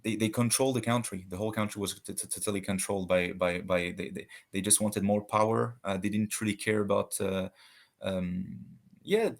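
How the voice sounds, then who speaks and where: A man with a lowish voice and a gravelly, creaky edge, talking as a guest in a casual debate. He speaks haltingly, restarting sentences while he hunts for the right word. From Switzerland. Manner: halting, searches for words with many restarts.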